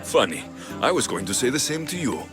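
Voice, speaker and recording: An actor playing a blunt, self-assured Greek mercenary hero in a video game, speaking tersely. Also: male voice, mid-range voice, very animated, fairly quick, music in the background, natural voice. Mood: firm. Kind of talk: video game cutscene acting.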